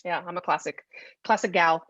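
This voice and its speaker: A woman's voice: fairly low pitch, steady tone, moderate pace, a bit bright, dry recording. A woman hosting a casual podcast chat, talking in an effusive, chatty way. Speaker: podcast host. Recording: dull, lo-fi close dry audio.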